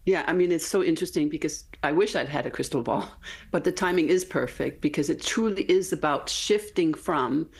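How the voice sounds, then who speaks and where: A woman's voice: sits low, vocal fry, darkish tone, husky. A woman in her fifties with a Danish accent, speaking earnestly as an author guest in a TV interview, often tagging her points with "right?". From Denmark. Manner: earnest, emphatic, frequent "right?" tags.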